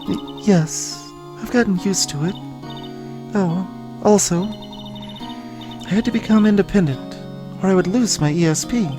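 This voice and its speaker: A man voicing a soft-spoken, sorrowful young woman who is a spirit medium in a video game, speaking haltingly and earnestly. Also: sits high, voice pushed up. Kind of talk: voiced video game dialogue. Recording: music behind the voice.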